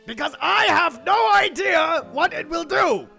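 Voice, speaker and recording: A shrill, creaky, excitable mad inventor. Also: male voice, a little slow, faint music behind, exaggerated voice. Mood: conflicted, earnest. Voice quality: high-pitched, creaky, sharp. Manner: overwrought, declaiming, prone to shouting. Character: excitable, dramatic evil inventor, loyal friend, melodramatic. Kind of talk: comedic audio drama acting.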